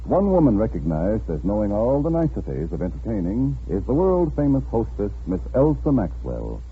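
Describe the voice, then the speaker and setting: An American man announcing commercials in the polished selling style of 1940s radio, with a husky voice. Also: fairly low pitch, huge pitch swings, moderate pace. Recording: tinny band-limited 1940s radio broadcast.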